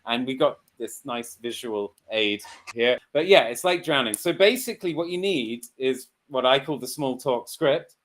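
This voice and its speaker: A man's voice: medium pitch, expressive, moderate pace, few pauses, fairly bright tone. Chatty man hosting a lesson. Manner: chatty, laughs often, many fillers. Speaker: host and English teacher. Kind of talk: casual online lesson chat.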